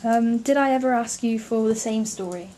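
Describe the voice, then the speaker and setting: A woman's voice: on the high side, moderate pace, mellow, a little hiss or hum. A young woman interviewing a relative about bedtime stories, encouraging and laughing often. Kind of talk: casual family interview.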